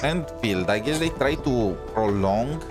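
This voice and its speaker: A man's voice: medium pitch, expressive, unhurried. A man chatting casually on a gaming stream, rambling and thinking aloud, often checking for agreement. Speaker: game livestreamer. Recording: music playing behind the voice. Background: music.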